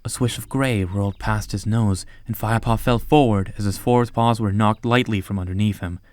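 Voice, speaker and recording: Low-voiced male story narrator, dry sound. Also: steady tone, fairly quick, darkish tone, no echo. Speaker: audiobook narrator.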